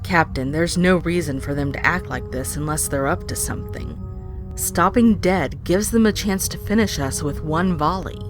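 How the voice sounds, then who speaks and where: A woman's voice: sits low, moderate pace, music in the background, natural voice. Woman playing blunt, wary starship first officer. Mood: distrustful. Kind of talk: science-fiction audio drama.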